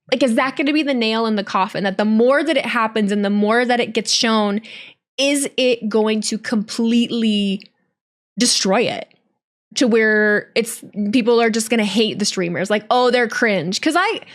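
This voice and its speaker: Chatty young woman with a higher voice. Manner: rambling, candid, self-correcting. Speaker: wrestling streamer and podcast host. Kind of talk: casual solo podcast talk.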